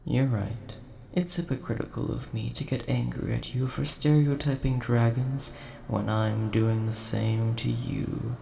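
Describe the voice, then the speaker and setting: A man plays a huge, age-old dragon with a scholarly bent, gentle and formal. He rambles unhurriedly in a dark, relaxed tone, like a storyteller in an audio roleplay. The sound is roomy and echoing. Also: mid-range voice, a little slow, vocal fry. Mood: calm, apologetic. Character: large ancient nerdy dragon, gentle, scholarly, coin collector. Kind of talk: scripted audio roleplay addressed to the listener. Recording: roomy echo, faint background noise.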